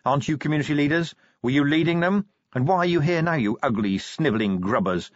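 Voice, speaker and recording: A man's voice: medium pitch, very animated, brisk, slightly rough, no echo, lo-fi sound, pitched above the performer's own voice. A man voicing a tough middle-aged male city watch commander in an audiobook, blunt and commanding. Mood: furious. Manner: blunt, commanding, scornful when angered. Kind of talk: audiobook character voice.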